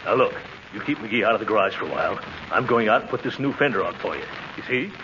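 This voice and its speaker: A man's voice: lowish voice, lively intonation, quick pace, lo-fi sound, lightly acted. An actor playing a blustery, conspiratorial neighbor man in a 1940s radio comedy. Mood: hushed scheming. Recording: noisy, band-limited 1940s radio recording with audience.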